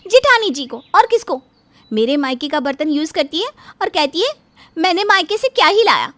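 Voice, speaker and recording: A woman's voice: very high voice, very animated, moderate pace, fairly bright tone, dry recording, full character voice. A woman playing a quarrelsome, indignant younger daughter-in-law in a story, whiny and argumentative with exaggerated delivery. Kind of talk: animated Hindi moral story dubbing.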